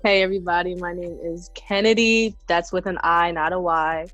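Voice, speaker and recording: Laid-back young woman, low voice. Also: steady tone, normal speed, fairly bright tone, poor audio. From South Jersey. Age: 20s. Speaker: podcast co-host. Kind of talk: casual group podcast chat.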